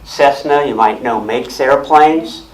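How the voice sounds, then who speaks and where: A man giving a loud, plain-spoken talk to a club audience, often repeating and restarting words as he explains. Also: mid-range voice, takes it slowly, a little hiss or hum, poor audio. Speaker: guest speaker on technology. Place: meeting room with audience. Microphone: handheld or stand mic with speaker system.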